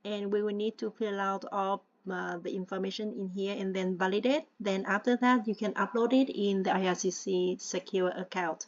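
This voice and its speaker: A woman's voice: mid-range voice, fairly even intonation, moderate pace, hardly any pauses, no room sound. Patient woman. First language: Vietnamese. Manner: patient, often checks in with the listener; hesitant, with many fillers. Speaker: tutorial presenter. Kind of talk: step-by-step screen-share walkthrough. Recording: dull, lo-fi dry voice-over.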